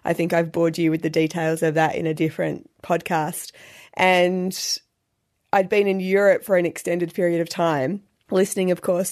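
Husky, croaky Australian woman. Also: fairly low pitch, darkish tone. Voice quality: slightly nasal. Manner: chatty, self-deprecating, laughs often. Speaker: podcast host. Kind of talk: solo podcast monologue.

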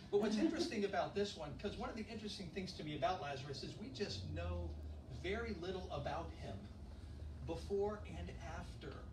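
A middle-aged pastor gives a relaxed, chatty sermon, tossing questions to his congregation. His voice sits a bit high for a man. It was recorded from the back of an echoey church hall, with a steady room hiss behind it. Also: fairly quick, far from the mic. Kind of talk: conversational sermon. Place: church fellowship hall, indoors. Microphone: handheld mic, filmed from far back.

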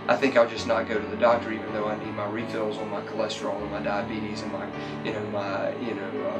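A man in his forties, a doctor explaining things in an interview. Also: on the low side. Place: small office indoors. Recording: distant mic, loud music underneath. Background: guitar music.

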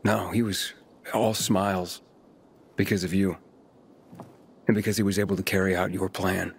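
An actor playing a young male survivor hero in a video game, earnest and gruff with a gravelly voice. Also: sits low, flat delivery, moderate pace, slight background noise. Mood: somber, gentle.

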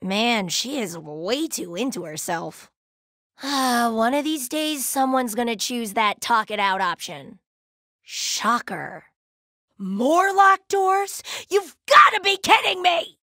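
Cocky slangy alien girl from a video game, high bright voice, playful n kinda laid back. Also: big pitch swings, no echo, studio quality, exaggerated voice. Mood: exasperated. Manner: cocky, slangy, drawn-out exclamations. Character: brash, playful, laid-back young female gem alien fighter. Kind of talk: video game character voice lines.

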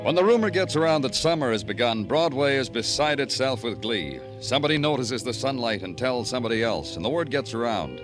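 A man acting a world-weary New York police detective, narrating poetically and deliberately in the style of 1950s radio noir. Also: medium pitch, lively intonation, music in the background, natural voice. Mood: wry, lyrical. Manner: dramatic, poetic, deliberate delivery. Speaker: radio drama lead narrator. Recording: old radio broadcast.

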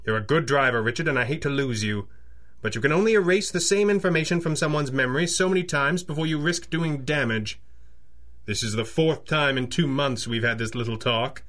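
Man playing a dry, refined wealthy young gentleman. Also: medium pitch, brisk, lightly acted. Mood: dry, commanding. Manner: polite, dry, formal, educated diction. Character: wealthy, composed young gentleman with secret hero identity. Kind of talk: 1930s-style radio drama acting.

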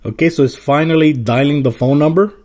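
Casual man with a slightly mellow voice. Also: fairly high pitch, brisk. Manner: informal, step-by-step explaining. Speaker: tech video host. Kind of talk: casual phone app tutorial.

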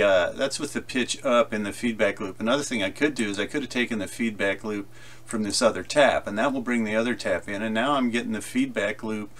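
A man explaining software in a casual, halting tutorial style, thinking aloud as he demonstrates. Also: fairly low pitch. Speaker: tutorial presenter. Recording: loud guitar effects music over voice. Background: loud electric guitar with delay effects.

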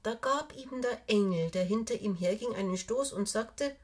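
An older woman reading a fairy tale aloud for children, with measured, expressive storytelling and deliberate pauses. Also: on the high side, breathy edge. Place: small indoor room, seated on a sofa.

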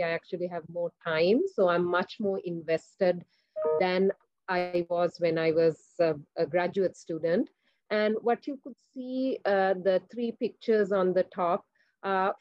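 A woman from Sri Lanka, a professor, presenting her slides on a video call at an academic conference in a measured way. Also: on the low side, no room sound. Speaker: professor, panelist. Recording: dull dry video call audio.

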